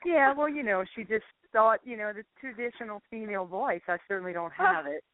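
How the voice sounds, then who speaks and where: A woman singer with a deep voice tells stories in an easygoing way and laughs along the way. She is heard over a narrow, phone-like line, and the sound is dry and close. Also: expressive, a little fast, dry recording. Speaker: singer, interview guest. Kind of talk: casual storytelling interview. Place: California.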